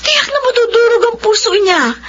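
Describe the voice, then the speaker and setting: A woman's voice: very high voice, lively intonation, moderate pace, a bit bright, highs cut off. A woman playing an emotional, protective Filipino mother in a radio drama, pleading and scolding, often on the verge of tears. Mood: tearful pleading. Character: distressed, scolding, protective mother of a rebellious teenage daughter.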